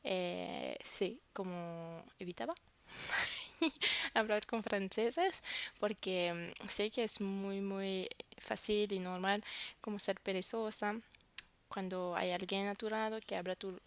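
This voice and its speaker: Slow, gravelly woman vlogger, French native. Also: medium pitch. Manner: halting, searches for words. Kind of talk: casual talk to camera.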